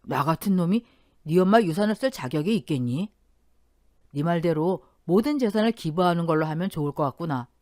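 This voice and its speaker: A woman's voice: fairly low pitch, lively intonation, brisk, darkish tone, dry recording, clean studio sound, natural voice. A woman voicing a grieving older father who speaks in a subdued, apologetic way. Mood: remorseful.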